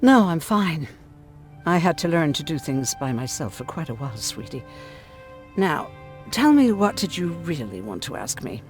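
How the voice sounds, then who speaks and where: A woman's voice: sits low, sing-song, moderate pace. A hardened woman medic who has survived too much, for a video game. She speaks in a matter-of-fact, bitter way, middle-aged and weary, with dry irony. Music plays behind her. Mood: guarded, dry. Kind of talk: video game drama acting.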